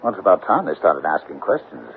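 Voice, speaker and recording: An actor playing a kindly, probing country doctor in a radio mystery, measured and dramatic as he narrates and converses. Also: low voice, big pitch swings. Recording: muffled, noisy old radio broadcast.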